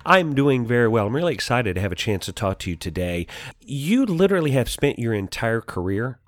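A man's voice: medium pitch, sing-song, brisk, dry recording. A man hosting a podcast, delivering prepared introductions and interview questions.

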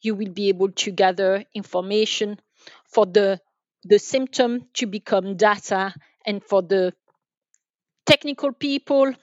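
A woman speaking as a gives conversational answers as an interview guest on business matters. She talks haltingly, circling back over words as she thinks. The sound is dry and somewhat dull, like a band-limited online call. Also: mid-range voice, no room sound. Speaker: podcast guest, enterprise sales manager.

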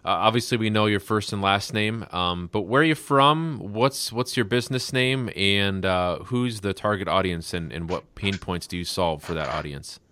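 A man's voice: lowish voice, normal speed, few pauses, pushed and bright, clear, resonant tone, no echo. A male podcast host who interviews his guest casually and offers upbeat, enthusiastic praise.

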